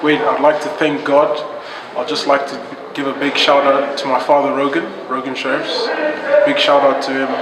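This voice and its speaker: A young man with a naturally deep voice talks about his stage role, stopping and restarting with fillers. He is picked up from a distance in a big echoey hall, with loud ambient noise behind him. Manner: halting, with many fillers and restarts. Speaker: student actor interviewed about his role. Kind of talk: casual interview answers.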